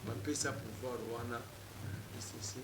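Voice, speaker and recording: A man's voice: medium pitch, fairly even intonation, normal speed, crowd noise. A man speaking as a political talk show guest, emphatic and repetitive, switching between French and Lingala. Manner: emphatic, mixes French and Lingala, repeats names insistently. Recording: echoey room with loud background noise.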